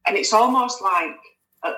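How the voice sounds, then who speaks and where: A woman's voice: medium pitch, normal speed, sharp tone, reverberant, rough recording. A woman, a guest on a podcast, chatting casually with lots of fillers and restarts. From West Yorkshire, England. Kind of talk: casual conversational interview.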